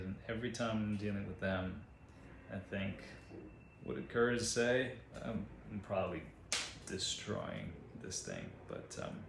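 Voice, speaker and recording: Low-pitched male artist, Portuguese-native, casual, hesitant delivery with lots of ums. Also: gravelly, slight background noise. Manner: hesitant and self-deprecating, many fillers. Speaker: artist giving a channel update. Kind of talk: casual vlog update.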